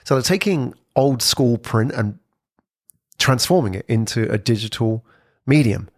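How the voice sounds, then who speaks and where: A man giving an informal explainer talk on podcasting, lively and conversational, with frequent "sort of" hedges. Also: medium pitch, unhurried, no echo, studio quality. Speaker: presenter on brand podcasting.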